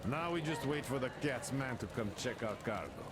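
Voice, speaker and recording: An actor playing an easygoing, wry guard in a post-apocalyptic video game. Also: male voice, medium pitch, animated, normal speed, heavy background noise, natural voice. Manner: wry, casual. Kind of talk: video game cutscene acting.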